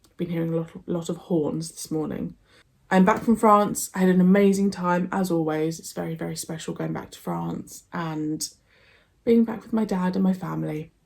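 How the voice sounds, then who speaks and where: Croaky-voiced woman chatting to camera. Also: lowish voice, expressive, normal speed, darkish tone. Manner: chatty and rambling. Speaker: reaction channel host. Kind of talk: casual reaction commentary.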